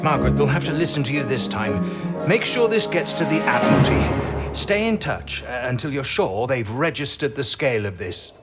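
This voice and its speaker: An actor playing an impatient British codebreaking chief in a wartime audio drama, with a clipped, exasperated, insistent manner. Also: male voice, mid-range voice, big pitch swings, moderate pace, over loud music, natural voice. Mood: brisk, urgent.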